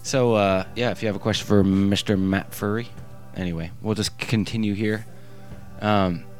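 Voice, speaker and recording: Deep-voiced rambling male podcast host. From Ohio, USA. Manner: rambling, loose, joking, many fillers. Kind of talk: casual interview chat.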